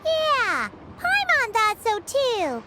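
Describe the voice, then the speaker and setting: A woman voicing a small, childlike, curious fairy companion with a squeaky voice in a video game. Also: wildly swooping pitch, drawn-out words, heavy background noise, exaggerated voice. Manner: talks of self in third person. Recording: music behind the voice.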